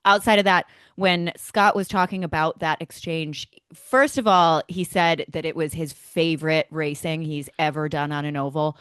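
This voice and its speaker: Lower-pitched woman in casual race chat. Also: fairly even intonation, normal speed, few pauses, fairly bright tone, clear, resonant tone, no room sound, clean studio sound. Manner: enthusiastic, conversational. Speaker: motorsport podcast co-host.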